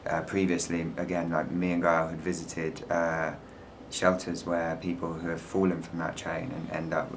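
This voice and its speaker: A man from London with a London accent, a filmmaker guest speaking in a relaxed way with many fillers in a panel interview. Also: low voice, normal speed, hardly any pauses, a little hiss or hum. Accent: London English. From England.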